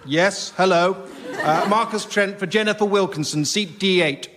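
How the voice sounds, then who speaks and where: A man's voice: high voice, normal speed, noisy background, lightly acted. An actor playing the fussy, indignant ghost of a dead father with a British accent, in radio sketch comedy. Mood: impatient. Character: exasperated, pedantic ghost of a late father.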